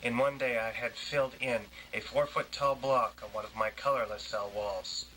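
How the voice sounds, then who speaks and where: A man reading a first-person literary piece in a measured, dramatic way. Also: medium pitch, fairly even intonation, bright, forceful tone, slight background noise, poor audio.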